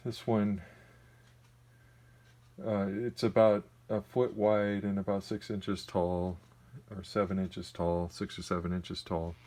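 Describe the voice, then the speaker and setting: A man giving a casual, unscripted demonstration in a halting, tentative, plain-spoken way. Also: deep voice, little pitch movement, warm tone. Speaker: radio collector giving a demonstration. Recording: noisy amateur home video recording.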